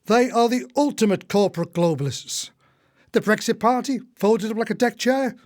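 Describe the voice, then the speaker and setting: A man's voice: high voice, moderate pace, darkish tone, close to an everyday voice. A man acting in a radio play as a retired husband, an indignant, long-winded, disillusioned old socialist. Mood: resigned, dry. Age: older.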